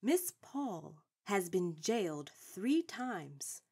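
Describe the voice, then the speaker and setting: A proud, seasoned suffragette of the 1910s in a radio drama. Her voice sits at a middle pitch, and she speaks in formal, declarative sentences with shrewd authority. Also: sing-song, drawn-out words, darkish tone, breathy edge, exaggerated voice. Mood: measured, emphatic. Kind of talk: stage-trained radio drama acting.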